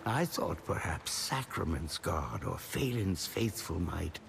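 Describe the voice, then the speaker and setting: An actor playing a weary, forlorn aging warrior in a fantasy game, speaking gravely and haltingly. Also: male voice, sits low, sing-song, background noise, full character voice. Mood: despairing. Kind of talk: dramatic fantasy game monologue. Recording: music behind the voice.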